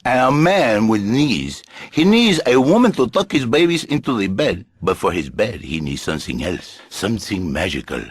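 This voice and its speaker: An actor playing a flamboyant, pompous Latin lothario with a Spanish accent and husky voice, theatrical and grandiose in broken English on satirical talk radio. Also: male voice, on the low side, big pitch swings, normal speed, hardly any pauses, no echo, clean studio sound. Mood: indignant, seductive. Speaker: radio talk show guest.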